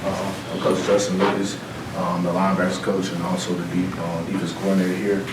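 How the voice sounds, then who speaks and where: A low-voiced man from Mississippi telling a room about his years as a player and coach. He talks earnestly and rambles, with plenty of fillers. He sounds far from the mic, in a roomy space with clear background noise. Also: completely flat delivery, a little fast. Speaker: football coach. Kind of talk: self-introduction to a room. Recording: roomy, distant, noisy room recording.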